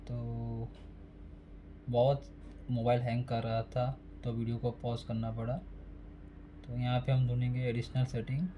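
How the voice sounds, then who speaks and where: A man's voice: medium pitch, completely flat delivery, unhurried, pauses often, dull audio, a little hiss or hum. A man giving a casual step-by-step phone repair tutorial, speaking plainly and repeating each step while working at a desk. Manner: plain, repetitive, instructional.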